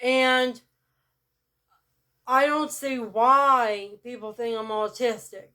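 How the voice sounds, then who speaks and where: A woman with a rural American country accent talking to camera in a blunt monologue, keeping her delivery flat and robot-like on purpose. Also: a little high, slow pace. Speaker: vlogger. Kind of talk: casual direct-to-camera monologue.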